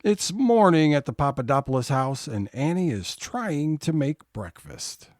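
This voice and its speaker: A man narrating a scripted audio comedy episode as its announcer, in a measured storytelling manner. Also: fairly high pitch, very animated, darkish tone. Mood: presenting.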